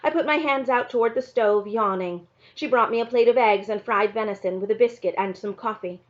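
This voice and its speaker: A woman reading a novel aloud in an expressive storybook narration style. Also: on the high side, fairly quick, lo-fi sound.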